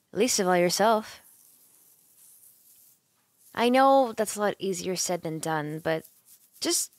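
A woman plays an affectionate young female roommate in an ASMR comfort roleplay. She talks slowly and gently, with plenty of creak and lively swings of pitch, teasing and a little sleepy, very close to the mic. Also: dry recording. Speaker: roleplay voice actor. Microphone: close microphone, dry.

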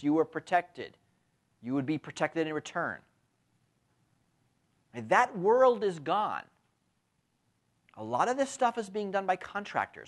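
Man, 50s, university lecturer; animated, rising and falling delivery, peppers points with tag questions; lapel mic. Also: a little high. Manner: frequent tag questions, lively emphatic delivery. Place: lecture room with window blinds.

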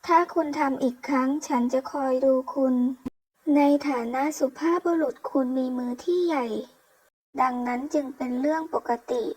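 A woman's voice: high-pitched, normal speed, darkish tone, husky, rough recording. A woman voicing a cheeky, food-loving young woman held captive in a comic-story dub, with chatty, playful delivery. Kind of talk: comic-story dubbing read aloud.

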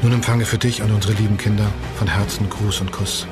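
An actor playing a composed, resolute imprisoned revolutionary in a docudrama, speaking in solemn, measured, formal phrases. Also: male voice, on the low side, steady tone, natural voice. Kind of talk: German docudrama acting, letter voice-over. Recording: loud music over the voice.

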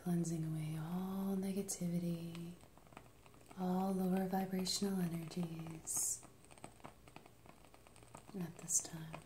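A woman in her thirties leading an ASMR reiki session in a small treatment room, whispering soft, slow, soothing affirmations from a distance to the camera. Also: on the low side, flat delivery, roomy sound, a little hiss or hum. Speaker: reiki practitioner. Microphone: far camera.

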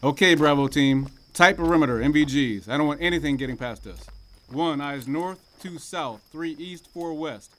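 A man playing a brisk army lieutenant in an audio drama, giving terse military commands. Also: a little high, a little hiss or hum, natural voice. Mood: commanding.

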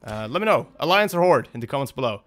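A man's voice: mid-range voice, sing-song, fast talker, a bit bright. A young man hosting a gaming video, talking off the cuff in a casual, hesitant, rambling and apologetic way.

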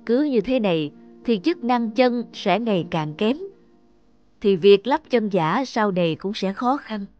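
A woman's voice: medium pitch, animated, a little slow, darkish tone, no echo, muffled recording, soft background music, natural voice. A woman voicing a serious, concerned doctor in a cartoon drama, speaking earnestly. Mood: grave, concerned. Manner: earnest, explanatory.

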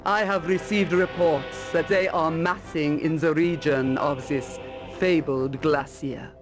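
Slow, solemn high-voiced imperial ruler. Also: male voice, steady tone. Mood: solemn, ominous. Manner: solemn, measured storytelling. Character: grave, regal sovereign of a cold northern empire. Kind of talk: fantasy video game cutscene acting. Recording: loud music under the voice.

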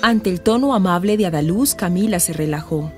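A woman's voice: on the low side, a little fast, a little mellow, faint music behind, close to an everyday voice. A woman narrator reading scripted narration for a radio drama. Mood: storytelling.

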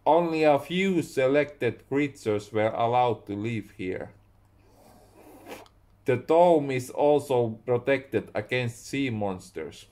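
A young man with a Finnish accent, a native Finnish speaker, slowly reading his own story aloud at home and stopping to correct his words. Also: medium pitch, lively intonation, a little mellow. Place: small room indoors at home.

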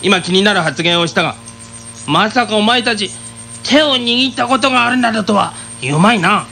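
A man's voice: sits high, normal speed, vocal fry, pushed and bright, a little hiss or hum, heavily acted. An actor playing a stubborn, pompous father in a comic radio drama, with old-man diction and a stammer when scandalised. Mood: scornful, suspicious.

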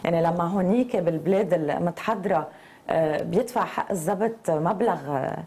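A woman's voice: lowish voice, fairly quick, warm tone. A woman in her forties with a Lebanese Arabic accent, hosting a conversational television interview. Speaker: TV host. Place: TV studio.

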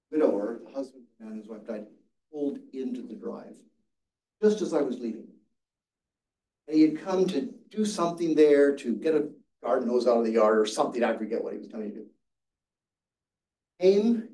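Older man, church class teacher, earnest storyteller, mellow tone, distant mic in an echoey room. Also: mid-range voice. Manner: earnest storytelling, restarts and repeats words. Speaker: Sabbath school teacher. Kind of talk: teaching a Bible study class. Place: church classroom with seated audience.

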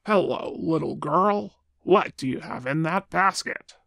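A woman voicing a sly, hungry male big bad wolf in a children's puppet show, gravelly and falsely polite. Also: deep voice, expressive, a bit bright, no echo, clean studio sound, voice pushed down, heavily acted. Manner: slow, scheming, falsely polite.

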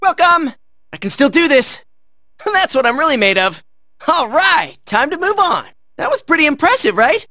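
High-pitched, excitable American teenage fighter. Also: male voice, normal speed, no echo, clean studio sound, exaggerated voice. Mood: breezy, self-satisfied. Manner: exclamatory, brash, high-strung. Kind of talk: video game battle barks.

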